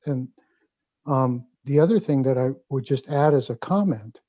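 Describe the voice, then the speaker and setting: Dull, dry call audio carries a man from Hawaii. His voice is mellow and a touch higher than average. He puts questions and comments to a speaker in a measured, conversational way, pausing to think. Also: dry recording. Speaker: audience member asking a question. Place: online video call.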